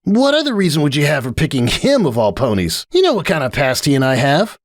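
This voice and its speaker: Man voicing a brash, high-pitched young pony mare. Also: wildly swooping pitch, brisk, dry recording, studio quality. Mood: hurt, angry. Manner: scoffing, exclamatory, emphatic. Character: brash, jealous young female cartoon pony, sulky and dramatic. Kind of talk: dramatic fan fiction reading.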